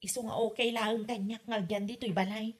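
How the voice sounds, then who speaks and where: A woman with an Ilocano accent playing a brash, dramatic young mother in a comedy drama, with exclaiming, drawn-out delivery. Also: fairly high pitch, fairly even intonation, close to an everyday voice. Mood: complaining. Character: brash, dramatic young mother defending her love life.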